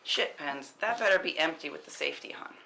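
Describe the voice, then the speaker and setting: A woman's voice: low-pitched, animated, brisk, vocal fry. A woman acting a blunt, sweary but loyal and self-deprecating friend in a conversational script read, recorded in a noisy room. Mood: annoyed, sweary.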